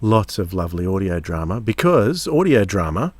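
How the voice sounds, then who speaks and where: A man hosting a podcast in a casual, measured way, pausing mid-phrase. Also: low-pitched, big pitch swings, heavy background noise. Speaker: podcast host.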